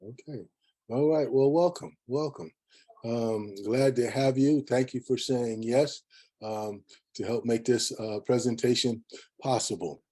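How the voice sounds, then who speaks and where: Halting male webinar moderator, mellow voice. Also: mid-range voice. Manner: halting, measured. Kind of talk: unscripted hosting.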